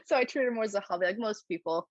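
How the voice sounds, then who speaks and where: A woman's voice: medium pitch, very fast, dry recording, dull audio. A woman in her thirties from Poland, a jiu-jitsu coach speaking thoughtfully in a podcast interview, with fillers and small laughs.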